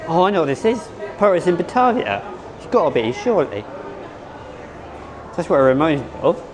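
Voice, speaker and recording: A man vlogging at a theme park, rambling and unsure of himself, hoarse as he is losing his voice. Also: on the high side, sing-song, normal speed, crowd noise. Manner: rambling, self-correcting, unsure. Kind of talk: casual vlog commentary.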